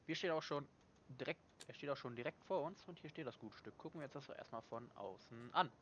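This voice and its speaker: A young man with a slight cold casually commenting on a game he is playing, chatty and quick to correct himself and apologize. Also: medium pitch, dull audio, slight background noise. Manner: relaxed, chatty, frequent self-corrections and apologies. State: slightly congested with a cold, clears throat. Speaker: gaming channel host. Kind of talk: casual let's-play commentary.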